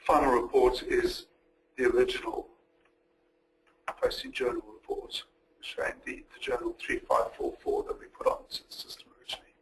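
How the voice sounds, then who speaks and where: A man giving a methodical, step-by-step walkthrough as a software demonstrator in a recorded webinar. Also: mid-range voice, wildly swooping pitch, normal speed, poor audio. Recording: noticeable background noise.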